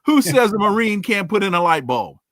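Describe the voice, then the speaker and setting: A man's voice: sits high, very animated, fairly quick, a bit bright, no echo, clean studio sound. A man hosting a podcast, giving emphatic commentary with rhetorical questions and folksy sayings before bringing on his guest. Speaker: podcast host. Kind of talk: news commentary and interview opening.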